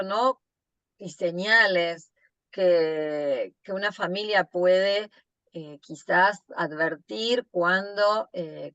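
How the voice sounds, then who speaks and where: A woman with a lowish voice asks a guest long, hesitant, rambling questions at a very slow pace. The recording sounds dull, lo-fi and dry. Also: fairly bright tone, no echo. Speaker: podcast host. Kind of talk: interview questions.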